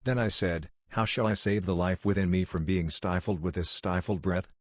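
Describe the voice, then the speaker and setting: A man's voice: deep voice, steady tone, moderate pace, no room sound. A man voicing a frail, ailing visionary scholar who speaks with fervent, solemn, old-fashioned phrasing.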